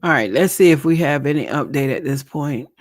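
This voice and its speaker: A man's voice: on the high side, fairly quick, dry recording, studio quality. A man hosting a live stream, chatting casually and thinking aloud with a congested, nasal voice. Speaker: livestream host. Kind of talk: live commentary on a court trial.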